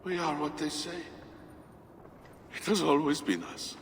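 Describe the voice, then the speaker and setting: An actor playing a deranged, burn-scarred man who believes he is a demon, speaking in a feverish voice that swings from hushed to ranting. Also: on the high side, unhurried, noisy background. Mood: brooding. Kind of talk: video game cutscene acting. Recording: echoey hall reverb.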